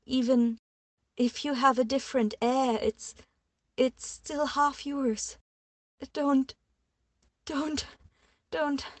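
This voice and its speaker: A woman's voice: on the high side, a little mellow, no room sound, voice pushed up. A woman voicing a distraught young woman who pleads through tears, her voice trembling and breathless. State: crying, hyperventilating.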